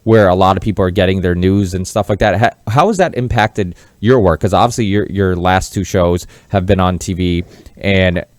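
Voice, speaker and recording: A man's voice: low-pitched, normal speed, no echo. A man from Massachusetts hosting a podcast, talking in an earnest, rambling, conversational interview style. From Massachusetts, born in Portugal. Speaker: podcast host.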